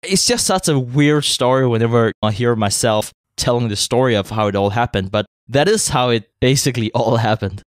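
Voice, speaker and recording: A man from Denmark talks in accented English in a relaxed, rambling way, full of fillers and doubled words. His voice sits at a middling pitch and is recorded close up with no room sound. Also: a little fast, few pauses, no room sound. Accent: Danish. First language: Danish. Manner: conversational, uses many fillers and repeated words. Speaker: podcast co-founder, guest. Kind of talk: interview conversation.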